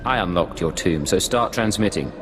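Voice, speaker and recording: An actor playing a blunt, cool-headed adventurer in a video game, terse and dry. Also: male voice, low voice, lively intonation, normal speed. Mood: cool, curt. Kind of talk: video game cutscene acting. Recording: echoing game dialogue over music.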